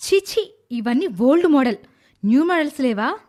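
A woman's voice: high voice, very animated, normal speed, darkish tone. A woman voicing an arrogant, spoiled, short-tempered young daughter-in-law in a dramatised moral story, with a scolding, haughty manner. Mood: disdainful.

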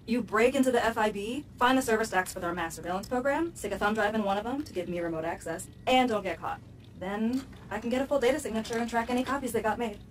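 A woman's voice: on the high side, quick pace, recorded from a distance, slight background noise, lightly acted, poor audio. A woman playing a young, sardonic American hacker in a video game, casual, techy and slangy. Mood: matter-of-fact. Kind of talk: video game cutscene acting.

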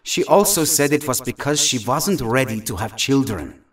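A man playing a young, wry and gentle mutant hero in an audio drama. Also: medium pitch, lively intonation, normal speed, distant mic, natural voice. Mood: subdued, reflective.

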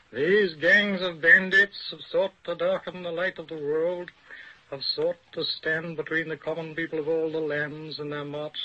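Sharp, husky male professor on old radio. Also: fairly high pitch. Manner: deliberate, articulate, didactic. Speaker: academic expert guest, professor. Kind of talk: radio panel discussion, measured explanation. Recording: dull old radio recording.

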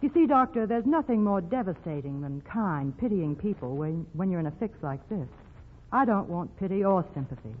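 A woman's voice: lowish voice, expressive, moderate pace, slightly rough, big-room sound. An actress playing a brave, romantic young woman with a secret doom, in 1940s radio drama style. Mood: brave, urgent. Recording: old phone-like radio recording with music.

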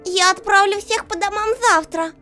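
A woman's voice: very high-pitched, steady tone, normal speed, bright, forceful tone, faint music behind. A woman voicing an anxious, stammering female lizard scientist in a cartoon comic dub. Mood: uneasy. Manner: nervous, stammering. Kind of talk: fan comic dub acting.

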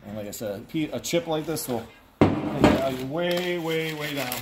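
A man's voice: on the high side, expressive, moderate pace. A middle-aged man giving casual, playful commentary as he handles items, thinking aloud and given to exclaiming. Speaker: auctioneer and host. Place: large cluttered indoor workshop or warehouse. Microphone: handheld phone camera a step or two away. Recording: noisy handheld recording with handling clicks.

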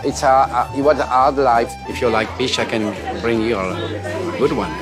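A man's voice: mid-range voice, normal speed, crowd noise. A man in his sixties from France, speaking English with a French accent as a ventriloquist contestant. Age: 60s. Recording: loud music and cheering audience behind.

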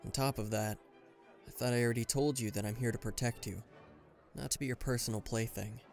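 Man acting a guarded male bodyguard, dry and exasperated, reluctantly caring, medium pitch, roleplay audio drama, music behind. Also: fairly even intonation, fairly quick, lightly acted. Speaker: voice actor.

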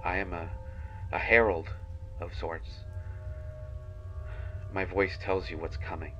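Man playing a low-voiced haunted banshee, halting dramatic monologue, muffled noisy recording. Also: level tone, soft background music. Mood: somber, regretful. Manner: halting, hushed confession with long pauses. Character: kindhearted young male banshee, half-fey, haunted and menacing.

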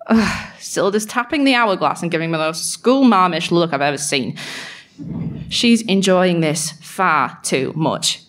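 A woman's voice: mid-range voice, natural voice. An actress playing a guilt-ridden, sardonic fairy woman who speaks a self-mocking, sweary diary monologue in a live audio drama. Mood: bitter, sardonic.

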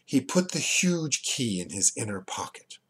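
Man, fifties, literary reading, lively pitch swings, unhurried, medium pitch, deliberate delivery. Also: husky. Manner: deliberate, dramatized dialogue. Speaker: reader, poet and book dealer. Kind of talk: expressive literary reading aloud. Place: small indoor room at home.

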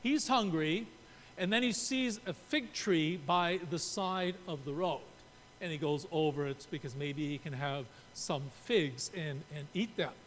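A man's voice: measured pace, a bit bright. A man in his sixties from Canada, preaching a sermon from a pulpit and explaining his points while gesturing. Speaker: pastor. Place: church sanctuary. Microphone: pulpit mic. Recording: reverberant church room, faint rumble.

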